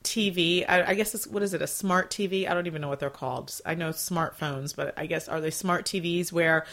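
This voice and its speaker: Woman in her forties, podcast host, lowish voice, slightly scratchy, clears throat, rambling casual chat. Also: fairly quick. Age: 40s. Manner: chatty, rambling, with fillers and soft laughs.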